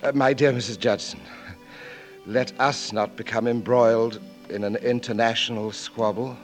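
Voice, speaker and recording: Male actor for dignified English valet, British accent, formal, hesitant, medium pitch, 1940s radio drama. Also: fairly even intonation, moderate pace, faint music behind, natural voice. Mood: polite, dignified. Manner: formal, precise, stammering politely. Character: proper, deferential English manservant, hesitant but dignified. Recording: 1940s radio broadcast recording.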